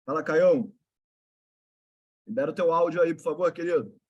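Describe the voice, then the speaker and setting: A man with a Carioca accent runs a live video call for his investment students. His voice sits high for a man. He talks in a brotherly, slangy way, cheering people on. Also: a little fast, slightly rough, highs cut off. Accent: Carioca Portuguese.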